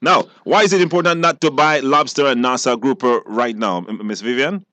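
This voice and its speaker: A man's voice: mid-range voice, a little fast, sharp tone, no room sound. Affable male radio host. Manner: affable, hesitant phrasing with repeated words. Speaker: radio talk show host. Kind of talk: casual on-air interview.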